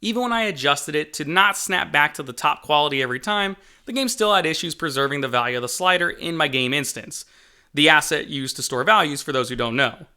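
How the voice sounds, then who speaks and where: A man with a somewhat high, sharp and forceful voice presents a development update. He reads as a video host, conversational but emphatic, with self-deprecating asides. The narration is scripted. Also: brisk, smooth. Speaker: game developer and channel host.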